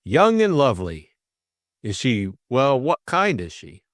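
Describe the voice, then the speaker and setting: A man playing a flippant, drowsy young gentleman of leisure in an audiobook, with a breezy, bemused and slightly hesitant manner. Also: mid-range voice, wildly swooping pitch, unhurried, dry recording, studio quality, pitched above the performer's own voice. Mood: curious, flippant. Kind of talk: audiobook character acting.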